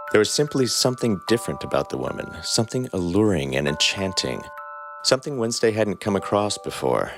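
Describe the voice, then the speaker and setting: A man with a very deep voice co-hosts a podcast, reading fan fiction and joking in a dry, deadpan way. Music plays clearly beneath his voice throughout. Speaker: podcast co-host and reader. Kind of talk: reading fan fiction aloud and casual banter.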